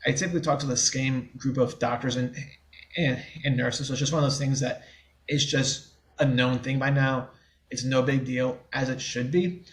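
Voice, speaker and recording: A man's voice: mid-range voice, steady tone, moderate pace. A man in his twenties from the New Orleans area, a guest in a podcast interview who stutters openly, with blocks and repeated sounds, and talks in a candid, easygoing way.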